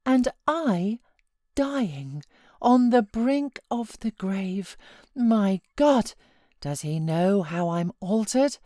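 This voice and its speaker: A woman voicing a feverish, self-pitying young married gentlewoman from a classic novel, with dramatic outbursts and anguished pleading. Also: medium pitch, expressive, extremely slow, no echo, studio quality, voice pushed up. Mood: shocked, anguished.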